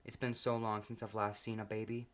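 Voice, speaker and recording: Low-voiced man narrating a story, deadpan. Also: brisk. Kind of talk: first-person comedic story narration.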